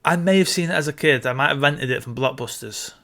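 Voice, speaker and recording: A man's voice: a little high, fast, a bit bright. A man co-hosting a casual film discussion, explaining his opinions as he goes. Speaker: podcast co-host.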